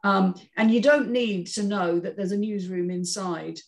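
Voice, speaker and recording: A woman leads a group discussion, explaining her critique in a measured way. Her voice sits at a middle pitch with noticeable creak. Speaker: script panel chair giving feedback.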